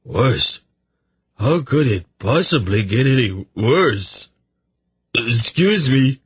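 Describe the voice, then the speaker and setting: Hungover young royal as a male narrator acts him, self-pitying, plaintive, slightly raised pitch, very husky, fantasy storybook. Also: expressive, slow, dry recording, exaggerated voice. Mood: dismayed.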